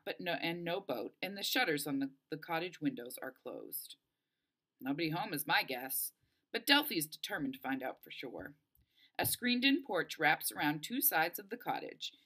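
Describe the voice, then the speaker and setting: A woman reading a first-person children's novel aloud in an expressive storytelling style. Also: low voice. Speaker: story reader.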